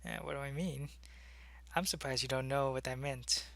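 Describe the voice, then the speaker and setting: A man acts out a loyal alien changeling spy in an intimate audio roleplay, with a gravelly edge and a bright, forceful tone. The delivery is conversational and halting, like someone confiding in one listener. The sound is very dry. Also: mid-range voice, fairly even intonation, no echo. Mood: matter-of-fact. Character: alien changeling spy posing as human, loyal, secretive, soldierly. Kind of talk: ASMR roleplay addressed to the listener.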